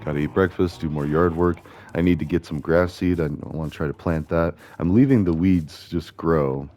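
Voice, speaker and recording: A man with a gravelly voice, chatting casually and rambling as he plays a video game, often correcting himself. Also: low-pitched, moderate pace, a little mellow. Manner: chatty, meandering, self-correcting. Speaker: gaming video host. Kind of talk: casual rambling commentary while playing.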